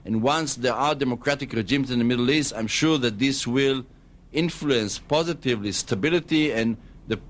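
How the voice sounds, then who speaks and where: Male official with Israeli accent, medium pitch, diplomatic and hesitant, answering formally in a radio interview. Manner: measured, diplomatic, halting with long hesitations. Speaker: embassy spokesman, radio guest.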